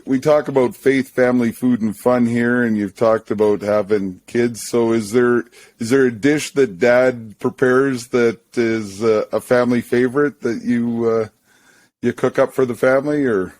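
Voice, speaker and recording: A man's voice: medium pitch, a little slow, a little mellow, no room sound. A man hosting a casual interview, easygoing and encouraging. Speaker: podcast host.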